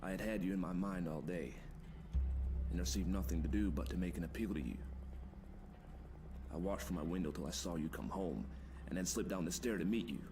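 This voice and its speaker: A man playing a wary, courteous fugitive in a visual novel, speaking in a measured, old-fashioned, formal way. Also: deep voice, over music, pitched below the performer's own voice. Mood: earnest, wary.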